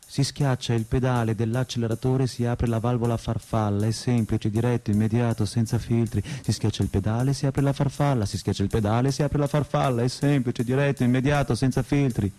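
Mellow, obsessive man, played by an actor, over guitar. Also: medium pitch, steady tone, a little fast, few pauses, close to an everyday voice. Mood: obsessive, methodical. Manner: repetitive, insistent phrasing. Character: betrayed man fixated on cars, obsessively logical, wounded. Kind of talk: theatrical monologue in radio drama.

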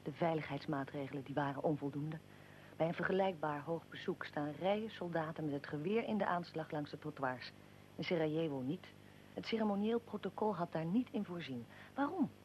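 An actor as a solemn chronicler in a historical radio play. The delivery is measured and declarative, with a probing edge, over a faint rumble and hiss. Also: a little mellow, natural voice, poor audio. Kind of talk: scripted radio drama narration.

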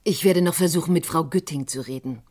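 An actress playing a cautious, sympathetic confidante in a German radio crime drama, with a husky voice and discreet manner. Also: female voice, low voice, fairly quick, a little mellow. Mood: discreet, earnest.

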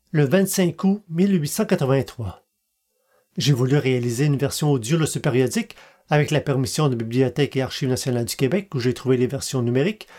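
Brief: podcast host, mid-pitched voice, reading prepared narration. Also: a little mellow. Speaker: podcast host and amateur genealogist. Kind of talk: scripted podcast narration in French.

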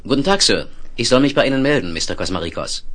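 A man's voice: medium pitch, animated, fast, fairly bright tone. A man playing a cheeky, self-assured young photo reporter in a radio play, with a wry, flippant manner. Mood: dryly flippant. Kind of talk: 1970s German radio play acting.

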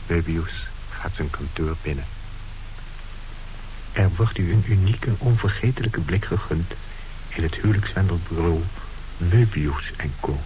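A man's voice: low-pitched, a little slow, pauses often, noisy background. A man acting as a conspiratorial radio play narrator who guides listeners in an urgent, theatrical, confiding way. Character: conspiratorial narrator guiding listeners as they shadow a crook. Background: music.

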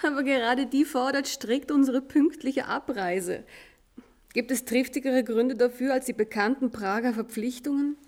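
An actress playing a shrewd, self-assured, gently teasing woman in a period radio drama, articulate and knowing. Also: high-pitched, normal speed.

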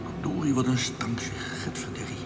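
An actor playing a weary, sarcastic sewage worker who grumbles and mutters to himself in a radio drama. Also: male voice, medium pitch, moderate pace, loud music behind the voice, lightly acted. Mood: disgusted muttering. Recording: long cavernous reverb, clear background noise.

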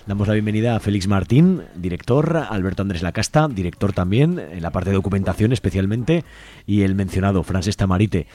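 Mellow, low-voiced male radio host. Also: expressive, a little fast. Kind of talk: unscripted radio presenting, introducing guests.

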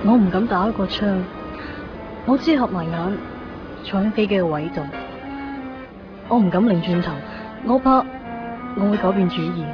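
A woman's voice: medium pitch, moderate pace, pauses often, over music, lightly acted. An actress playing a melancholy young woman in a wistful Cantonese radio drama monologue. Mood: sad, resolute. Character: melancholy young woman quietly leaving her love behind. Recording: dull lo-fi 1990s radio.

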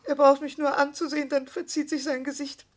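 A woman's voice: sits high, flat delivery, fairly quick, natural voice. An actress in a radio play playing an aggrieved wife, earnestly complaining about her husband's slights. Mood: plaintive, hurt. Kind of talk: German radio play acting.

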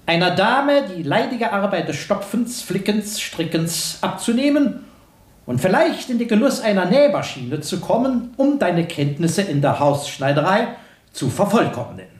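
A man's voice: high-pitched, very animated, moderate pace. An actor playing a pompous, moralizing village schoolteacher lecturing girls oratorically in a German radio drama. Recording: echoey room.

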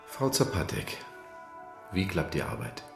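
A deep-voiced, calm therapist. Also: male voice, unhurried, creaky, faint music behind, heavily acted. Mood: neutral. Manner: brief, neutral prompts and murmurs. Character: calm therapist questioning the maid. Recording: echoey room.

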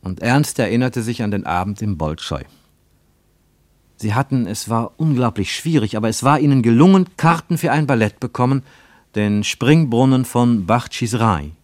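A man reading scripted literary narration for radio, with measured, rhetorical and emphatic phrasing. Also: medium pitch, no echo.

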